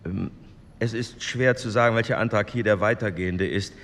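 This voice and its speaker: A man's voice: lowish voice, moderate pace, a bit bright. A man playing an orderly committee chairman, speaking in a formal, procedural way. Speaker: actor in a documentary radio play. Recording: echoey hall with background noise.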